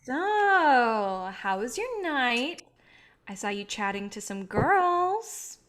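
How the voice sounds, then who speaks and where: A woman's voice: a little high, big pitch swings, very slow, fairly bright tone, exaggerated voice. A woman playing an idealistic, patient filmmaker in a staged play reading, speaking in an earnest, coaxing way. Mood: placating.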